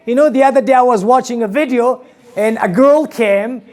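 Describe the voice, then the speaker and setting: Man, 30s, afghan accent, english teacher, animated lecture, small classroom, camera across the room. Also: extremely high pitch, normal speed. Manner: animated, gestures widely, asks the class questions.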